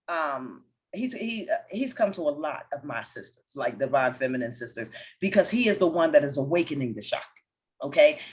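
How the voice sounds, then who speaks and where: A woman hosting a casual spiritual conversation, explaining ideas with confident, teacherly authority. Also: fairly low pitch. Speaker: host of a spiritual discussion.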